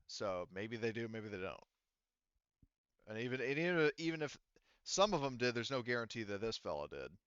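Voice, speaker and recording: A man running a tabletop roleplaying game as game master, explaining things in a halting, rambling way. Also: mid-range voice, big pitch swings, fairly bright tone, clear, resonant tone, dry recording, lo-fi sound.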